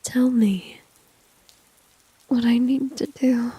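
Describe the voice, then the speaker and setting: A woman's voice: a little high, fairly even intonation, very slow, warm tone, breathy edge, no echo, exaggerated voice, low-quality recording. A woman playing a flirty, dominant, confident villain in an ASMR roleplay, whispering breathily and teasingly close to the microphone. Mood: coaxing, confident. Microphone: close microphone, dry.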